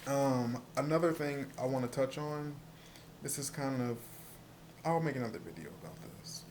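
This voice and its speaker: A man with a soft voice, stuttering and repeating words as he talks casually to the camera in a personal vlog. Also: medium pitch, a little hiss or hum. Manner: stuttering, halting with many repeated words and fillers. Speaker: vlogger.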